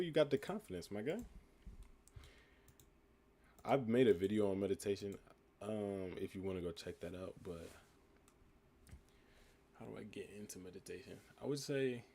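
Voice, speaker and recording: A young man from the United States with a calm, laid-back voice, reading live chat questions aloud and answering them in a relaxed, reflective way. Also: fairly low pitch, normal speed, pauses often. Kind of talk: casual live stream chat.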